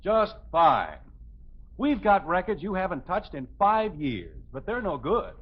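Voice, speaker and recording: A middle-aged American suburban father in a 1950s radio comedy, scolding and sarcastic. His voice sits a little high and swings widely as he blusters about thrift, all on a muffled vintage broadcast. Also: very animated, unhurried, breathy edge, full character voice. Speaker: actor in a radio sitcom.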